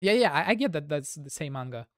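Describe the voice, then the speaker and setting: A man's voice: on the high side, lively intonation, fast, a bit bright, dry recording, clean studio sound. A man from Russia with a Russian accent, a gaming streamer chatting casually with viewers in a rambling way, full of "like" fillers. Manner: rambling, heavy use of "like" fillers.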